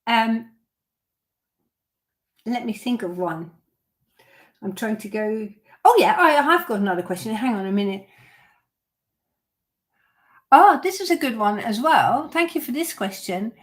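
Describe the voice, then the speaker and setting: A woman's voice: on the high side, expressive, a little slow, long pauses. Middle-aged woman violin teacher from the Netherlands; chatty live-stream Q&A. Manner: chatty, answers viewer questions with fillers and restarts.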